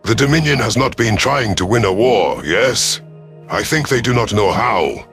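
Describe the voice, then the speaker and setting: Man, low voice, scripted sci-fi narration, stern alien commander, formal, deliberate, music bed. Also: animated, a bit bright. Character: stern, calculating male alien predator commander. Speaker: audio story narrator. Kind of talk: scripted first-person sci-fi story narration in character.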